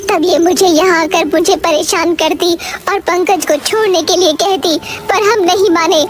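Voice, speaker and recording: A woman doing a grieving ghost woman. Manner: grieving, accusing, low and heavy. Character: vengeful female ghost haunting a well. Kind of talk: animated storybook voice acting.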